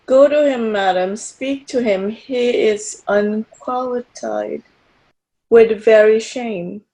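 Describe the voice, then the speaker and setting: An amateur actress reading an anxious, devoted handmaid in a Shakespeare play. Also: female voice, a little high, takes it slowly, mellow, rough recording. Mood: anxious, urging. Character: anxious, devoted female handmaid urging her queen. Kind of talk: amateur Shakespeare read-aloud.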